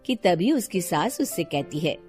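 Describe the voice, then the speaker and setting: A woman narrating a cartoon story in a soft, even storytelling delivery. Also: lowish voice, a little slow, soft background music.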